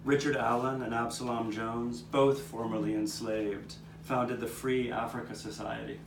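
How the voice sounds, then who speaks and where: A man's voice: mid-range voice, little pitch movement, a little slow, lightly acted. A man playing a 19th-century Philadelphian, earnestly narrating memories of his neighbors' struggles, occasionally stumbling over the script. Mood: earnest recollection. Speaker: narrator of a puppet show. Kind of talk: scripted first-person historical narration, storytelling. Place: outdoor courtyard, live performance. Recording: roomy, distant microphone, noticeable background noise.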